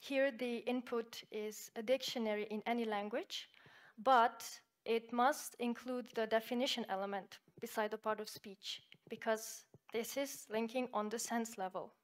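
Woman, Slovenian native speaker, slightly high voice, conference presenter, measured explanation, frequent fillers. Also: fairly even intonation. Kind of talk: academic conference talk with live software demo.

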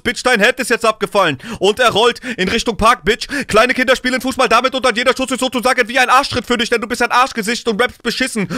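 Fast, bright-voiced man, high-pitched reaction streamer. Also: dry recording, studio quality. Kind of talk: casual reaction commentary with freestyle rap bursts. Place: small indoor streaming studio. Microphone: close studio microphone on desk, headphones.

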